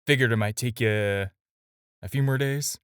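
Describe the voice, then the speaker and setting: A man acting in an audio roleplay as a smooth-talking, flirtatious villain who teases and coaxes the listener with playful pauses. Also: mid-range voice, fairly bright tone, dry recording, studio quality, lightly acted. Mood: teasing, pleased. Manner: coaxing, playful, hesitant pauses and drawn-out teasing. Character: smooth-talking, flirtatious adult male supervillain, teasing and persuasive.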